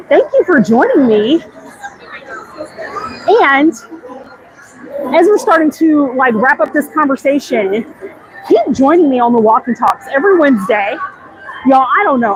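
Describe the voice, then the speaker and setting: High-pitched American woman livestreaming amid noise. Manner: chatty, slangy, addresses viewers as y'all. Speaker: vlog host. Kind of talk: casual livestream chat. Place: walking outdoors in a busy theme park. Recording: live outdoors with clear background noise. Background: theme park ambience.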